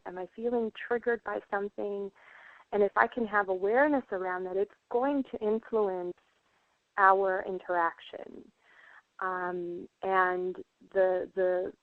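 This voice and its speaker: A woman's voice: medium pitch, no room sound. A woman from Puerto Rico, a guest healer on a radio interview, talking in an earnest, reflective way with many fillers. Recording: tinny phone line.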